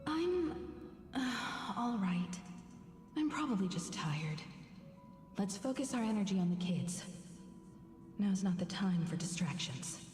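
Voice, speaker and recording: An actress playing a composed woman crew leader in a video game, measured and anxious beneath her calm. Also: mid-range voice. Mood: anxious, restrained. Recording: echoey long reverb, music behind.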